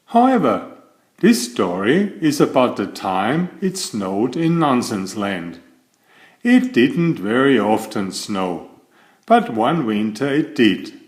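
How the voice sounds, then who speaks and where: A man's voice: mid-range voice, sing-song, slow. A man reading a children's bedtime story aloud expressively, putting questions to the listener. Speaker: storybook reader.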